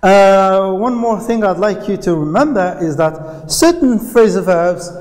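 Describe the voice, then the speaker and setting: A middle-aged man teaching English in a classroom, explaining patiently with frequent pauses and hesitations, with a Moroccan accent. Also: sits high, animated, darkish tone, in a large hall. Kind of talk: classroom lesson explaining phrasal verbs. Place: classroom in front of a whiteboard.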